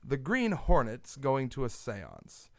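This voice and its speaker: A man acting a confident newspaper publisher and masked hero in a community radio drama, brisk and commanding. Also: mid-range voice, wildly swooping pitch, gravelly, no room sound, clean studio sound, exaggerated voice. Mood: scheming. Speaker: radio actor. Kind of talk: old-time radio drama.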